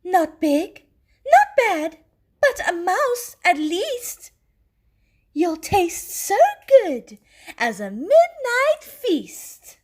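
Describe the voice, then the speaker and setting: A woman voicing a bold, curious, excitable young girl monster from a children's storybook. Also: very high-pitched, extremely slow, pitched above the performer's own voice, heavily acted. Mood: eager, gleeful. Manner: exclamatory, questioning.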